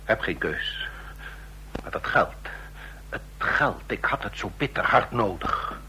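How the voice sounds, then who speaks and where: An actor playing an anxious, cornered old rogue in a radio drama, speaking in halting, clipped phrases. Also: male voice, on the low side, expressive, bright, forceful tone, slight background noise, full character voice, poor audio. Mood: defeated, desperate. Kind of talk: Dutch radio drama acting.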